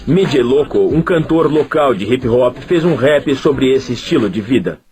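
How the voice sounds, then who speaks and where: A man giving scripted documentary narration in an even, measured delivery. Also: mid-range voice, husky, soft background music.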